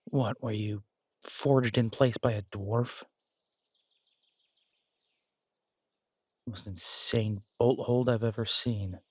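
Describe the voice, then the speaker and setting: A man playing an affectionate, easygoing werewolf boyfriend who tinkers with bikes, speaking casually and haltingly in an intimate roleplay audio drama. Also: fairly low pitch, fairly even intonation, slightly rough, no room sound, clean studio sound. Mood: frustrated, straining.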